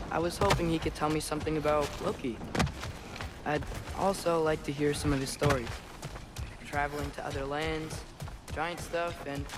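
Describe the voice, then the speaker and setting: Young man as an eager, higher-voiced teenage boy. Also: close to an everyday voice. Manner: youthful, inquisitive, casual. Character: curious, eager teenage boy, earnest and questioning. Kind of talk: video game voice acting.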